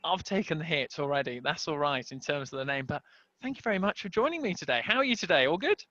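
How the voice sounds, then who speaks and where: Bright, upbeat podcast host man. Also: fairly high pitch, lively intonation, fast, dry recording. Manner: upbeat, enthusiastic, lots of superlatives. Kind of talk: casual interview hosting. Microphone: headset. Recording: dry, dull lo-fi sound.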